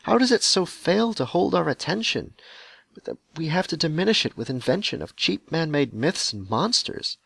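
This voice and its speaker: A man's voice: fairly high pitch, very animated, normal speed, creaky, fairly bright tone, no room sound. A man who hosts a podcast, performing a dramatic spoken-word poem in rhyme with sardonic, emphatic delivery and blunt swearing. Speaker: podcast host. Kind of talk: dramatic beat poetry recitation.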